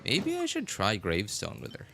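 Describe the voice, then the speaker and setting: A man's voice: on the low side, wildly swooping pitch, normal speed, music in the background. A man casually talking to viewers while live streaming a game, thinking aloud and often repeating himself.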